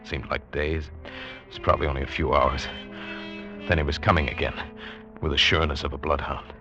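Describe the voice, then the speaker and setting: An actor playing a hunted, desperate castaway, narrating his ordeal with tense, deliberate intensity in a 1940s radio drama. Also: very deep voice, fairly even intonation. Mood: tense, dread. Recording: dull vintage 1940s radio recording. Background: dramatic music.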